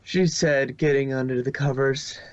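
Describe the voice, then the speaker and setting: A man's voice: mid-range voice, steady tone, moderate pace, no room sound, highs cut off. A man narrating a fan fiction story in an amateur reading.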